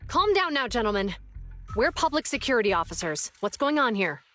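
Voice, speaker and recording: A woman's voice: a little high, sing-song, fairly quick, a bit bright, faint music behind. A woman playing an earnest, friendly young public security officer in a video game, speaking sincerely. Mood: friendly, calming. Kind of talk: video game character acting.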